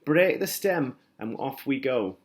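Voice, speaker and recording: A man doing a gentle motherly ladybird. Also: mid-range voice, animated, slow pace. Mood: kind. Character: gentle kind female ladybird.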